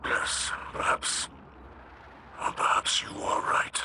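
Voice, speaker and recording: A man voicing a grave, weary alien captain and mystic in a video game, slow and formal, with a gravelly, breathy growl. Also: natural voice. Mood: measured concern. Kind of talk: dramatic video game acting.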